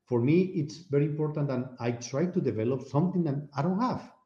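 A man's voice: on the high side, brisk, mellow, echoey room, muffled recording. A man sharing his views as a guest on a panel discussion, thinking aloud and repeating words as he searches for them. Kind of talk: casual discussion.

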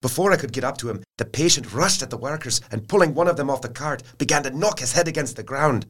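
An actor playing a dutiful asylum doctor, reading out a formal report in an orderly, matter-of-fact way for an audio drama. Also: male voice, medium pitch, expressive, fast talker, fairly bright tone. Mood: urgent.

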